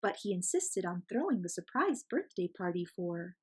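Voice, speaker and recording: A woman reading a picture book aloud as an expressive storyteller for children. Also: on the low side, moderate pace, slightly rough.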